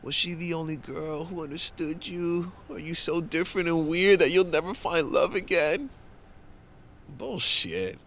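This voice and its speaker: An actor playing a brash, foul-mouthed war-veteran coworker, blunt, pushy and joking, in a naturalistic audio drama. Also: male voice, on the high side, a little slow, a bit bright, a little hiss or hum. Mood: pushy, teasing.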